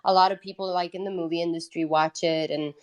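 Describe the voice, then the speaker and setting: A woman's voice: on the low side, fairly even intonation, fast talker, a little mellow, lo-fi sound. A native Italian-speaking woman from Italy telling her story casually and candidly as an interview guest. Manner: candid, informal. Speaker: guest, independent musician.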